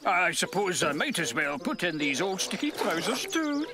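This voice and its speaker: A man's voice: sits high, sing-song. A man voicing a kindly, fussy old farmer in a cartoon, muttering mildly and taking his time. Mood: mild, friendly. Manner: muttering, unhurried, mild. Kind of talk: cartoon voice acting.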